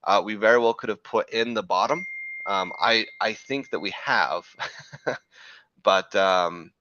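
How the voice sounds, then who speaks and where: A man hosting a live crypto trading show, talking through chart analysis in a rambling way, correcting himself, with a slightly hoarse voice from losing it. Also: fairly low pitch, a little slow, sharp tone, dull audio, a little hiss or hum. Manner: rambling, self-correcting, frequent "right?" checks.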